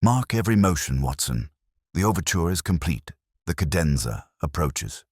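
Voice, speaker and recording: Calm, commanding male detective for a mystery audiobook read by a man: very deep, husky, measured and theatrical. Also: no room sound, studio quality, full character voice. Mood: tense. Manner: measured, theatrical, authoritative pronouncements. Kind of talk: audiobook character dialogue.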